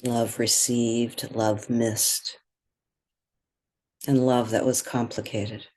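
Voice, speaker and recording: Slow, husky guided meditation voice. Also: mid-range voice, level tone. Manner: gentle, measured, with long pauses between phrases. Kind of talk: guided meditation, soothing spoken instruction.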